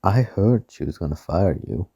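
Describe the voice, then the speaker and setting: A man's voice: low voice, lively intonation, moderate pace, vocal fry, mellow, husky, highs cut off, exaggerated voice. A man playing a smug, arrogant, menacing rich mansion owner with a haughty, sneering delivery in an amateur radio play. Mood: smug, threatening.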